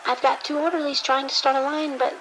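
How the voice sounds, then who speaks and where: Over faint background noise, a woman acts a frantic hospital triage nurse in an audio drama. She is breathless and halting, panicky under an overwhelming night. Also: sits high, little pitch movement, fairly bright tone, poor audio. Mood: shaken.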